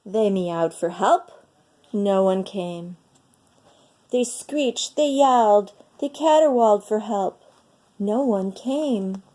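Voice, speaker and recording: A woman reads a children's story aloud with a lively, swooping melody. Her voice is mellow and she takes her time, leaning into the phrases with dramatic, deliberate delivery. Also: mid-range voice. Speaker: storyteller reading a picture book aloud. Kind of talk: expressive children's read-aloud.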